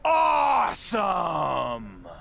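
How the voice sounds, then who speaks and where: A man playing a brash wrestling showman in a video game, making grandiose, drawn-out announcements. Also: high voice, wildly swooping pitch, creaky, fairly bright tone, shouting, heavily acted. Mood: triumphant hype.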